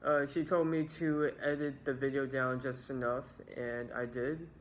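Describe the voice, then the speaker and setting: A young adult man tells a personal story in a scripted storytime style. His voice sits at an average pitch. There is faint noise behind him as he narrates. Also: little pitch movement, a little slow. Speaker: video creator telling a story.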